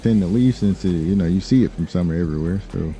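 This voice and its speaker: A man playing a polite, businesslike lawyer and crime boss in an online game roleplay, talking casually in a courteous, hesitant, unhurried way. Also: low-pitched, mellow. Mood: relaxed, friendly. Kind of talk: improvised in-character roleplay chat.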